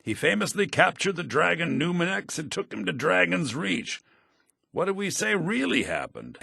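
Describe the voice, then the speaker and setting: An actor playing an earnest, theatrical head bard in a fantasy video game, speaking formally with a slightly raspy voice. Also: male voice, sits high, big pitch swings, normal speed, bright, forceful tone, no echo, clean studio sound, heavily acted. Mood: thoughtful. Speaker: game character, bard college leader.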